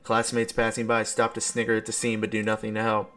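A man's voice: lowish voice, monotone, a little fast, pushed and bright. A young adult man reading a visual novel aloud as a streamer, with casual, slangy, exclamatory reactions. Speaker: let's play streamer. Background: background game music.

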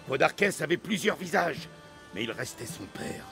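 Gravelly old Greek warrior. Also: male voice, fairly high pitch, sing-song, moderate pace. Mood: grave, measured. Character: grizzled old one-eyed Greek warrior, a storyteller. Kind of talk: French video game dub acting. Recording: music behind the voice.

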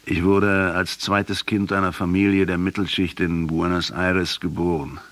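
A man acting in a radio drama as an earnest, principled Argentine professor who argues deliberately and accusingly. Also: sits low, level tone, a bit bright, no echo. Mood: sombre, recounting. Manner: deliberate, argumentative. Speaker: radio play actor.

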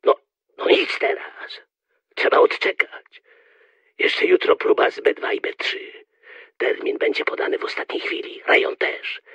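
An actor playing a gruff, agitated conspirator in a radio drama, raspy and clipped, through a telephone filter. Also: male voice, measured pace, pauses often, a bit bright. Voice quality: very raspy, gravelly.

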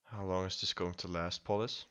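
A man's voice: sits low, fairly even intonation, normal speed, a bit bright, clear, resonant tone. A man playing an impatient pushy president. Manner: impatient, self-important.

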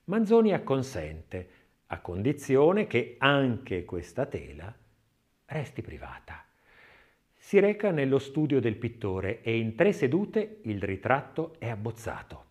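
A man reading a scripted literary audiobook essay as narrator, in a measured, expressive way. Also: mid-range voice.

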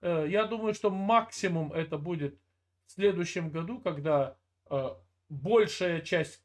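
An older man with a notably high voice lectures and scolds in a heated video chat. He talks into an earphone cable mic from a room at home, often cutting in to finish his point. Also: unhurried. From Russia. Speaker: video chat channel host. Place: indoor room, video call.

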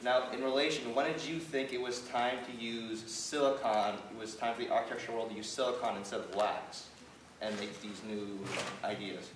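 A man's voice: mid-range voice, steady tone, normal speed. Distant man asking a rambling question. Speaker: audience member asking a question. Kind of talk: audience question. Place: large lecture hall, in the audience. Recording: distant echoey hall with noise.

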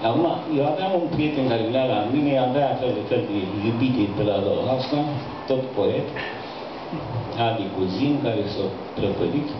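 An older man talks slowly and haltingly, breaking off and restarting as he recalls things. He speaks into a handheld mic in a small cafe, but the recording picks him up from a distance. The sound is heavily echoey, dull, and hissy. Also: mid-range voice. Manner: slow, halting, with many pauses and restarts. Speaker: poet. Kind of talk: reflective storytelling at a reading. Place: small cafe room, indoors. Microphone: handheld mic, recorded by a far camera. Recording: distant echoey room, dull lo-fi, audible hiss.

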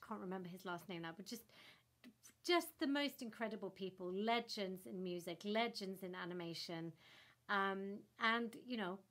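Enthusiastic woman on a video call. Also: medium pitch. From Norway. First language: Norwegian. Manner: enthusiastic, animated with hand gestures. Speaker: actress guest. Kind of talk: remote interview answers. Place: home room in front of a floral wall. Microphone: laptop webcam on a video call.

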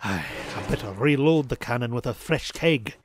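A man's voice: mid-range voice, expressive, moderate pace, slight background noise, lightly acted. A man voicing a cranky, miserly old male duck in a cartoon comic dub, scolding in fussy, old-timey exclamations. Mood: weary, grumbling.

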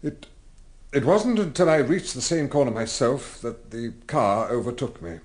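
A man playing a reluctant gentleman giving testimony in a radio play. His mid-pitched voice is careful, measured and halting. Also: animated, close to an everyday voice. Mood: hesitant, careful. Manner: hesitant, stammering, measured.

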